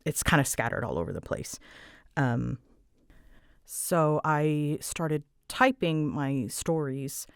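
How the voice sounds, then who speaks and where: A woman's voice: a bass voice, clean tone, no echo, clean studio sound. A woman creator answering audience questions in a casual, rambling chat, candid and often stopping to rephrase herself. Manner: halting, self-interrupting, candid.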